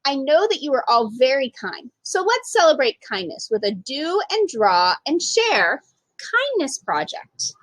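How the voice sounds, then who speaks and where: A woman's voice: high voice, very animated, measured pace, a bit bright, no room sound, heavily acted, rough recording. A woman voicing a kind, encouraging schoolteacher in a storybook.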